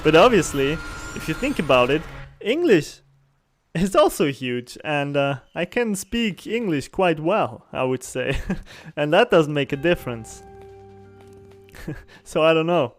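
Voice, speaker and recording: A streamer talks loosely while he plays, in a somewhat higher voice for a man. He wanders between thoughts, stops to fix his own words and often laughs softly. Faint game music plays underneath. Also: very animated, measured pace, pauses often. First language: German. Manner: rambling, self-correcting, chuckles often. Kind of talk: casual chat while gaming on stream.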